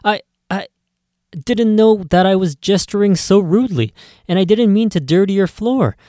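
A man's voice: fairly high pitch, big pitch swings, moderate pace, darkish tone, no room sound, studio quality, pitched above the performer's own voice. A man doing a chirpy, polite young woman shopper. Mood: flustered. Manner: chirpy, well-mannered.

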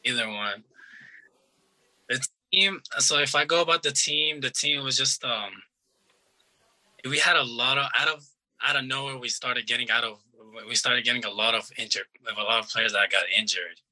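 A young man in his twenties from Iran, a soccer player answering interview questions in a relaxed, halting way with restarts. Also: mid-range voice, rough recording.

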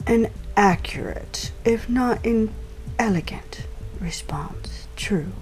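A woman playing a coolly polite, menacing crime boss in an audio drama, speaking in a deliberate, mockingly genteel way. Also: mid-range voice, expressive, a little mellow, in a whisper. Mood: probing, controlled. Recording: music and rain under the voice.

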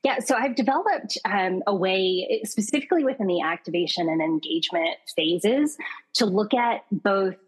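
A woman's voice: mid-range voice, lively intonation, moderate pace, husky, low-quality recording. A woman guest on an interview podcast, explaining her work in an articulate, thoughtful way. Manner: articulate and explanatory, thinks aloud with fillers. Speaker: podcast guest, customer experience expert.

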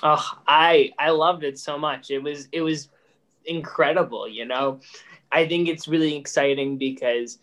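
A teenage boy from a high school drama club, speaking earnestly in a casual interview. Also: fairly even intonation, unhurried, a bit bright. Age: teens. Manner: earnest, eager.

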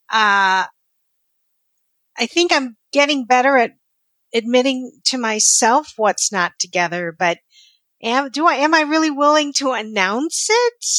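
Woman guest, slow-spoken, slightly high voice, dry close sound. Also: dry recording. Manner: earnest, measured, warm praise. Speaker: podcast guest. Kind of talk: casual conversation.

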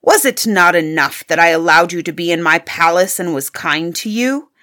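A woman's voice: on the low side, expressive, moderate pace, sharp tone. A woman voicing a frightful, angry male beast in a storybook, stern and commanding in manner. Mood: furious. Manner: stern, menacing, grim. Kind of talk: storybook character voice.

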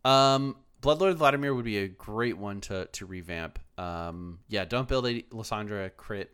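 A man's voice: fairly low pitch, measured pace, a bit bright, clear, resonant tone. A man hosting a podcast, chatting casually and reading listener emails, with lots of fillers, restarts and the odd swear word. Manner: rambling, many fillers and restarts. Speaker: podcast host.

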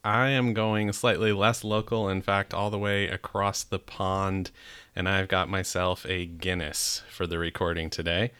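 A man's voice: sits low, level tone, unhurried, sharp tone, smooth. A middle-aged man hosting a podcast in a chatty, upbeat way, with the odd restart. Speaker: podcast host. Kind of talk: casual chat.

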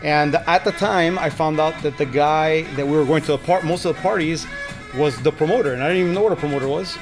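A man's voice: a little high, fast talker. A middle-aged man from Miami, a podcast guest talking casually and rambling with many restarts. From Miami, Florida. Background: music.

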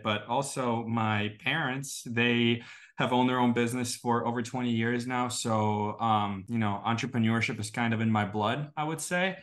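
A man's voice: medium pitch, fairly bright tone. A man in his twenties from Estonia, answering interview questions casually with a conversational "you know" habit. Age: 20s. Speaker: guest, e-commerce entrepreneur.

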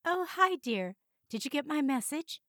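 Woman playing a high-voiced fussy elderly mother. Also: sing-song, unhurried, sharp tone, no echo, clean studio sound, full character voice. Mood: chatty, exasperated. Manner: chatty, rambling.

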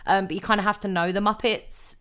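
A woman's voice: medium pitch, fairly even intonation, fast, a bit bright, smooth. A young woman co-hosting a podcast, chatting casually with many ums and frequent laughs. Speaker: podcast co-host. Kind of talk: casual chat.

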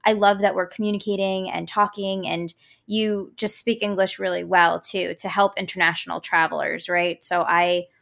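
A woman's voice: fairly low pitch, level tone, moderate pace, vocal fry, fairly bright tone. A woman hosting a travel podcast who talks in an upbeat, gushing way and praises her guest often. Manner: upbeat, gushing, many "I love that". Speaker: podcast host. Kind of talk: enthusiastic podcast interview.

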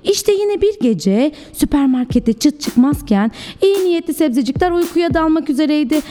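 A woman with a high voice narrates a children's storybook. She sounds like a preschool teacher, expressive and theatrical, addressing young listeners. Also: normal speed, faint music behind.

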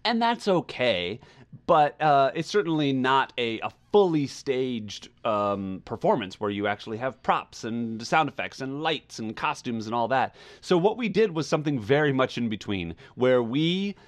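A man's voice: medium pitch, very animated, moderate pace. A man hosting a podcast in a casual, chatty style, rambling as he goes. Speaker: podcast host.

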